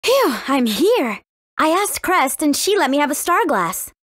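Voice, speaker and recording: A woman's voice: high voice, very animated, unhurried, a bit bright, no room sound, studio quality, heavily acted. A woman voicing a spirited, cautious fifteen-year-old warrior princess in a video game, earnest and youthful in her delivery. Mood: cheerful. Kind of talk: English video game voice acting.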